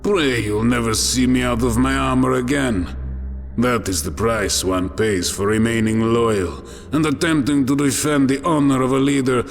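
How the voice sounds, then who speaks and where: An actor playing a wounded, loyal transhuman warrior in a dark sci-fi audio drama, measured, sardonic and weary. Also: male voice, mid-range voice, fairly even intonation, close to an everyday voice. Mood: grim recounting. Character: wounded, wry, loyal transhuman warrior, male, bitterly proud. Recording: long hall reverb over music.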